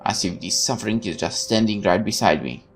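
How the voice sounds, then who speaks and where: A woman's voice: extremely low pitch, animated, fairly quick, creaky, soft background music. A woman hosting a let's play, reading visual novel narration aloud and adding her own asides.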